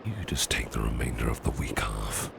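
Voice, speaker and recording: A man playing a doting, reassuring businessman boss in an ASMR roleplay, speaking in a breathy, half-whispered murmur with soothing, genteel phrasing. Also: very deep voice, level tone, normal speed, noisy background, full character voice. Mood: soothing, protective. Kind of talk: ASMR personal-attention roleplay.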